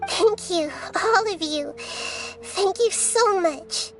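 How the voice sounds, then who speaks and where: A woman voicing a gentle, childlike young goddess in a video game, speaking in measured, formal, poetic phrases. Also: very high voice, soft background music. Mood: grateful, tender. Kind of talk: scripted video game dialogue.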